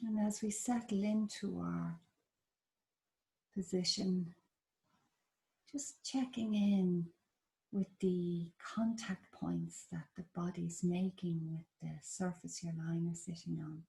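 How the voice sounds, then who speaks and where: A woman with an Irish accent leading a guided body scan meditation in a slow, soft, soothing manner. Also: lowish voice, fairly even intonation, gravelly, rough recording. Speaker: meditation teacher.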